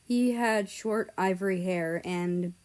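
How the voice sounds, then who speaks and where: A woman narrating a scripted first-person story in a measured, deliberate way. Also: mid-range voice, a little mellow. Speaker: audiobook-style reader of fan fiction.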